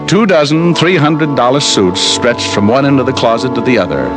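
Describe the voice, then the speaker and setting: An actor playing a wry, unflappable private detective, narrating and trading wisecracks in old radio drama style. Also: male voice, mid-range voice, lightly acted. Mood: dry, unruffled. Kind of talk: 1940s-50s radio detective drama acting, hardboiled narration. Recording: vintage radio recording with music behind.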